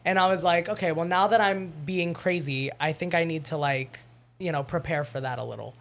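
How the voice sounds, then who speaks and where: A musical theatre actor chatting casually on a podcast, with a voice they call shrill, talking with lots of fillers and animated storytelling. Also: brisk. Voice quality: self-described shrill voice.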